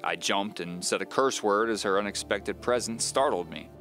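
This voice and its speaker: A man's voice: on the low side, moderate pace, a bit bright, soft background music. A man narrating a scripted first-person mystery audio drama as a wry, self-deprecating novelist.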